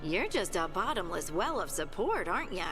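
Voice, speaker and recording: An actress playing a young dwarf woman scout in a video game, warm, resolute and earnest with a gently teasing manner. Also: on the low side, sing-song, normal speed, music in the background.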